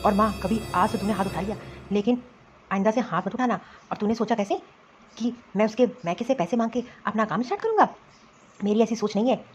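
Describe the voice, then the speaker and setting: A woman's voice: medium pitch, expressive, a little fast, soft background music, lightly acted. A woman voicing a protective adult son and husband in a cartoon family drama, reproachful and insistent. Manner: remonstrating, insistent.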